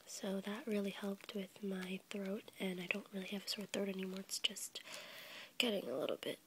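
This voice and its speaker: A young woman with a cold, whispering softly as she rambles for an ASMR video, stuffy and a little congested. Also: mid-range voice, fairly even intonation, moderate pace, noisy background. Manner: soft unvoiced whisper, rambling with fillers and small laughs. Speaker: ASMR YouTuber. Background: crinkling packaging.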